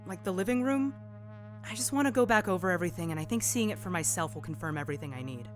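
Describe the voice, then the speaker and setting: A woman's voice: on the low side, animated, quick pace, close to an everyday voice. An actress playing a self-possessed private investigator in her late twenties, casual and questioning, in an audio drama. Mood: polite, persistent. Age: young adult. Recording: music behind the voice.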